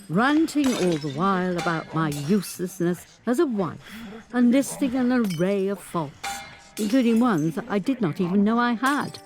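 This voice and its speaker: An actress playing a dignified woman of eighty-five, a survivor of an abusive marriage, telling her life story as a measured, articulate dramatic monologue. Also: mid-range voice. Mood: grave, bitter. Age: older. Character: 85-year-old grandmother, abused former footballer's wife, dignified survivor. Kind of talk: dramatic first-person monologue, scripted storytelling. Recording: studio recording with guitar music underneath. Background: instrumental guitar music.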